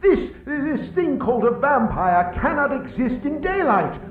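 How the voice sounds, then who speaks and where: A man's voice: very high voice, very animated, unhurried, faint music behind, heavily acted. A man playing a frightened, urgent villager in a radio horror play, with an emphatic, dramatic delivery. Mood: resolute. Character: frightened, urgent villager seeking escape from vampires. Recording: tinny band-limited audio, distant, echoey.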